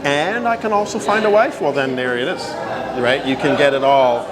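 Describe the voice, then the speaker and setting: Man, 50s, from Canada, chatty interview guest, seeks agreement, mid-pitched, crowd noise behind. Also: moderate pace, poor audio. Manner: talkative, repeats "right?" for agreement. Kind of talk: casual interview answers.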